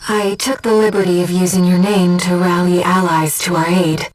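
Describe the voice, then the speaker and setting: A woman voicing a gentle, disembodied female being who guides a pilot in a video game, speaking in a composed, earnest and precise way. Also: on the low side, level tone, normal speed, distant mic, faint music behind, close to an everyday voice, low-quality recording. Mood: calm, focused. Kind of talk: video game mission support voice acting.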